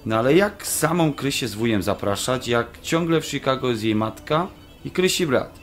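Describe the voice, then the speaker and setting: A medium-pitched man's voice played by an actor as an engaged grown son in a radio play, casual and conciliatory, with a trace of exasperation. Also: expressive, normal speed, soft background music, lightly acted. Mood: exasperated, placating. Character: placating adult son, engaged, mildly exasperated. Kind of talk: radio drama acting.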